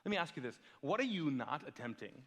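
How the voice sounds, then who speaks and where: A male pastor raised in Kuwait whose first language is Urdu, preaching with lively storytelling and rhetorical questions. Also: on the high side, fast, long reverb tail. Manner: animated storytelling, big swings in loudness, rhetorical questions. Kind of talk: sermon. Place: large church hall.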